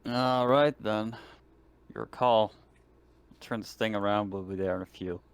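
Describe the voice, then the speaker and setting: A man's voice: lowish voice, a little slow, clear, resonant tone. A man chatting casually as a player in a tabletop role-playing game.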